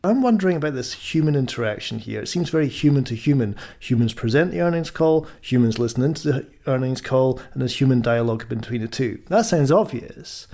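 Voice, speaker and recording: Gravelly, mellow man asking questions. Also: mid-range voice, animated, brisk, few pauses. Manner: inquisitive, thinks aloud in questions. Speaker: podcast host. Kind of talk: conversational podcast questioning and recapping.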